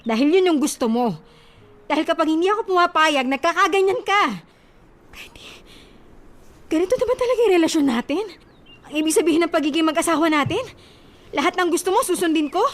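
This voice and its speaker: High-pitched pleading young wife. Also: female voice, brisk, pauses often. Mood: hurt, pleading. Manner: pleading, emotional protests. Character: distressed, pleading young wife resisting her demanding husband. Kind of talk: radio drama acting.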